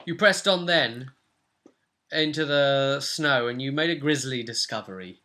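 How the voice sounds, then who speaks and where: Bright-voiced young man recapping a game. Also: medium pitch, measured pace. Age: young adult. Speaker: host, game master. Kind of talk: casual recap of a tabletop role-playing session. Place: small furnished room at home.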